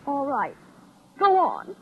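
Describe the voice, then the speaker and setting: Through a dull old radio recording comes an outspoken secretary with a very high voice. She is pert and stubborn, arguing in a matter-of-fact way typical of 1940s radio drama. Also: huge pitch swings, takes it slowly, background noise, heavily acted.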